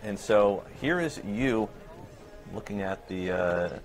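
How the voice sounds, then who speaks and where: Low-voiced young American man interviewing. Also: lively intonation, takes it slowly. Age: young adult. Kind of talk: casual sit-down interview. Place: open indoor lobby studio with people behind. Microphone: lapel mic. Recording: clear background ambience noise.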